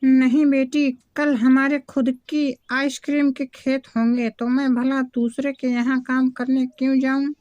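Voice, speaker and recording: A woman's voice: sits high, level tone, a little slow, hardly any pauses, darkish tone, no room sound, dull audio. A woman voicing a poor, earnest village mother in a cartoon moral story, speaking plainly. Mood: eager. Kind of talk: Hindi animated moral story acting.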